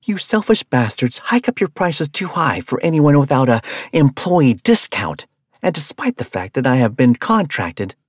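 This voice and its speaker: Storybook: hot-headed woman sellsword, voiced by a man, brash and yelling, wildly swinging pitch. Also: sing-song, fairly quick, no echo, studio quality. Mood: furious. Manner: yelling insults, brash and loud. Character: brash foul-mouthed woman mercenary, hot-tempered.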